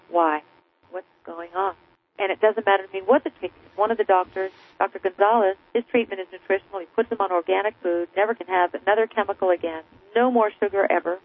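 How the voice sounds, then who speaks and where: A woman being interviewed as an author, talking in an emphatic, persuasive, crusading way. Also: medium pitch. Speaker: author and guest. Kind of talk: impassioned radio interview. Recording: narrow phone-like radio line.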